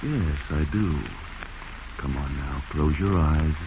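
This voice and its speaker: A man's voice: rumbling low, slow pace. An actor playing a discontented, scheming man in a 1940s radio drama. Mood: coaxing, secretive. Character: discontented, scheming man coveting his rich aunt's money. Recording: muffled, noisy 1940s radio recording.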